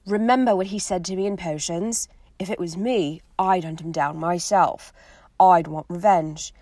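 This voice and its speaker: A woman voicing a withdrawn, brooding teenage boy speaking flatly and bitterly. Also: fairly low pitch, normal speed, no echo, natural voice.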